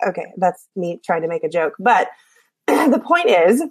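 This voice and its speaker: A woman's voice: on the low side, sing-song, measured pace, breathy edge, dry recording. Woman host with an allergy-scratchy Appalachian voice. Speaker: podcast host. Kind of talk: scripted podcast intro and casual chat.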